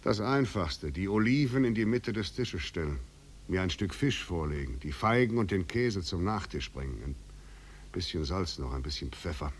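Escaped convict in an old german radio play, low voice, ironic n bossy, noisy tape. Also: male voice, animated, moderate pace. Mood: coolly demanding. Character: escaped prisoner, self-possessed, coolly demanding, philosophical man. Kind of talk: 1950s German radio drama acting. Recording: noisy 1950s radio recording.

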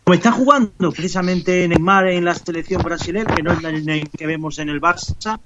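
A talkative man chats as a guest on a radio panel in a fairly high voice. He rambles and keeps restarting his sentences. His line sounds muffled and lo-fi. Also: brisk, very noisy. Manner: talkative, rambling, with many restarts and self-corrections. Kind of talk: casual radio panel chat.

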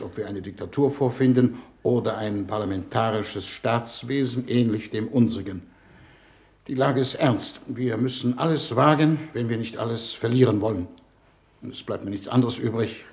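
A man's voice: medium pitch, a little mellow, lightly acted. Foreign minister, male, played by an actor: grave, shrewd, authoritative, mid-range voice, 1950s radio-drama formality. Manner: formal, measured, probing, authoritative.

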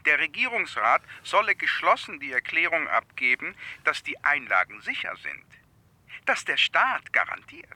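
A very sharp, bright male voice plays a teasing police insider in a radio play. His pitch swings freely as he confides, exasperated and emphatic. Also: big pitch swings, moderate pace, no room sound, heavily acted. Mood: confiding. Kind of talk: radio drama phone dialogue.

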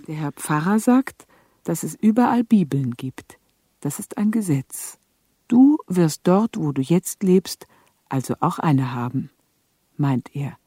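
A woman's voice: low-pitched, expressive, slow pace, dark tone, slight background noise. A woman playing an earnest, naive, devout lady who reads letters aloud in a radio drama.

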